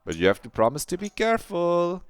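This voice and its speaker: A man's voice: sits high, huge pitch swings, brisk, dry recording, voice pushed up. A man voicing an anxious, eager teenage girl who rambles and stammers nervously. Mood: eager rambling.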